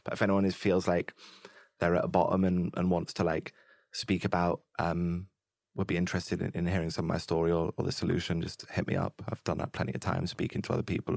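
A man, a musician guest, talking candidly and openly in a laid-back, soft-spoken way. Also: deep voice, gravelly, no room sound, clean studio sound. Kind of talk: candid interview conversation.

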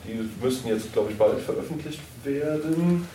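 A man's voice: mid-range voice, expressive, moderate pace, mellow. A man answering questions informally as an audio drama producer at a live event. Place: indoor convention hall, live event. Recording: echoey, noisy room, far from mic.

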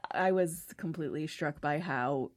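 A woman interviewer with a gravelly voice, chatting enthusiastically with a guest. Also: low-pitched, moderate pace, no echo, studio quality. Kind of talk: conversational interview.

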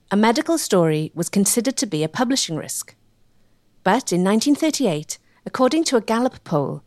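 Female documentary narrator, scripted read, medium pitch, dry studio-tight sound. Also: darkish tone, no room sound.

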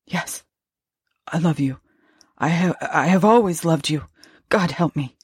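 A woman's voice: low voice, measured pace, darkish tone, breathy edge, dry recording, clean studio sound, full character voice. Woman acting a anguished, terror-stricken husband. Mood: terrified. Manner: anguished, pleading. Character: grieving, terror-stricken husband calling to his dead wife. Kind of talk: gothic story reading.

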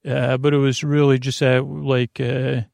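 A man hosting a bedtime podcast in a creaky voice, meandering through tangents and interrupting himself in a lulling, soothing manner. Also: mid-range voice, level tone, a little slow, darkish tone, dry recording, studio quality. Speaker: podcast host. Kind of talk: rambling bedtime podcast monologue.

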